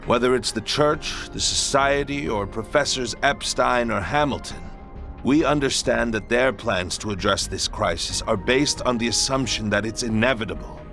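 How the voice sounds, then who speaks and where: An actor playing a composed, resolute head of state in a video game, speaking formally and with authority. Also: male voice, medium pitch, moderate pace, creaky, fairly bright tone, faint music behind. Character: resolute, composed male president of a republic. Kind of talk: video game cutscene voice acting.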